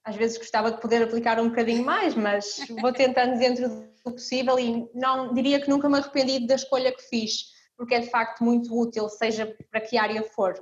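A woman's voice: on the high side, flat delivery, fairly quick, hardly any pauses, dull audio. A young woman from Ukraine, talking reflectively as an interview guest. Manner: reflective, speaks in short clauses with frequent "não é?". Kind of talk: casual interview conversation.

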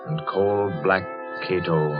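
Loud music runs behind an ominous storyteller on a dull old radio recording. His lowish voice narrates with dramatic sweep. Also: steady tone, slow pace.

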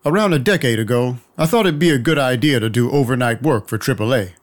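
An actor playing a wry vampire detective who narrates in a dry, measured, noir manner. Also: male voice, medium pitch, close to an everyday voice. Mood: wry, matter-of-fact. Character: weary, wry male vampire detective narrating his night. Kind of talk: first-person noir scripted narration.

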